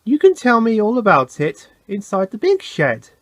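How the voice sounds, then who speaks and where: A man's voice: sits high, wildly swooping pitch, normal speed, dry recording, voice pushed up. A man voicing an authoritative, knowing grandfatherly railway controller in a children's storybook. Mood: commanding. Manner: commanding, knowing.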